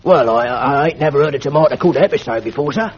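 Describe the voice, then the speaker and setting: A man's voice: medium pitch, rapid-fire, breathy edge, lightly acted. A man voicing an ancient, grumbling male school porter who talks in broken dialect and keeps calling people "sir", on a lo-fi old recording. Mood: stubborn. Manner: ungrammatical dialect, deferential, keeps saying "sir".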